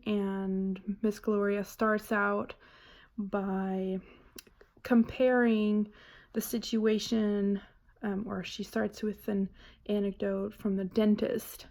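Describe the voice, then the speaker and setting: Slow, flat woman, gravelly. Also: mid-range voice. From Germany. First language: German. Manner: hesitant, frequent "um" pauses. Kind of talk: spoken reading response.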